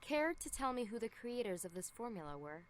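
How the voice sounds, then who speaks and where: A woman voicing a composed schoolteacher in a visual novel, formal, brisk and instructive. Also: on the high side, very animated. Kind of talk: scripted visual novel dialogue. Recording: music playing behind.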